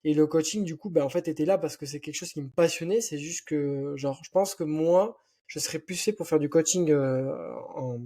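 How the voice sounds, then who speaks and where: Young guy w/ southwest french accent, rambly and full of fillers, kinda high but mellow dark tone. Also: moderate pace. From southwest France. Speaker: podcast guest, founder. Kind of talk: casual podcast chat.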